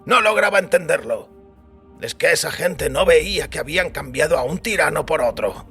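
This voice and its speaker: An actor playing a weary older priest who recounts a wild youth in a wry, reflective way, with a raspy voice. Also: male voice, fairly high pitch, lively intonation, moderate pace, bright, forceful tone. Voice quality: raspy, gravelly. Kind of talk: dramatised audiobook acting. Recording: music bed under the voice.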